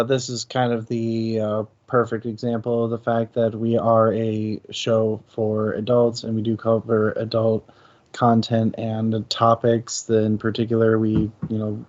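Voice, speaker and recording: A man's voice: lowish voice, monotone, a little slow, a little mellow. A man talking casually as co-host of a book discussion show. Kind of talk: casual chat. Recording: dull, muffled lo-fi audio.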